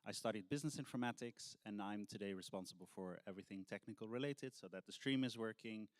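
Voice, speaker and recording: A man's voice: on the low side, quick pace, dry recording. A man, the technical chair of a meeting, introducing himself in a straightforward, matter-of-fact way.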